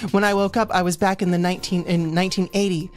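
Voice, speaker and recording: A woman's voice: on the low side, flat delivery, fast talker. A woman co-hosting a livestream, giving a comic dramatic reading mixed with casual chat, stumbling over words and breaking into asides. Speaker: livestream co-host reading fan fiction aloud. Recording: music behind the voice.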